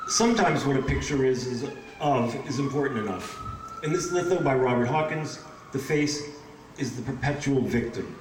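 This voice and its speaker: A man's voice: medium pitch, expressive, moderate pace, background noise. Man reading poetry, distant in an echoey room. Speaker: poetry reader. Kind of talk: poetry reading with informal asides. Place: indoor bookshop event in front of audience.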